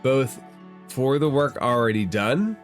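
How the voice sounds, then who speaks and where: A man running a tabletop role-playing game, recapping events to his players in a measured, teasing way. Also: medium pitch, expressive, faint music behind. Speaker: game master.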